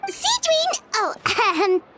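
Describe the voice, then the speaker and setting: A woman voicing a tiny, childlike fairy companion in a video game, excitable, cheeky and exclamatory. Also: very high voice, slow pace, background noise, exaggerated voice. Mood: bubbly. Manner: exclamatory, refers to herself in third person. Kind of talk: animated video game voice acting. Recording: music behind the voice.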